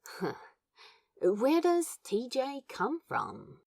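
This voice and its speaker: A woman acts out a wary, smitten, slightly gawky man in an audio drama. He talks in short, hesitant, curious phrases at a slow pace. The recording is dry and close to echo-free. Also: fairly high pitch, huge pitch swings, gravelly, darkish tone, breathy edge, full character voice. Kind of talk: fan fiction audio drama dialogue.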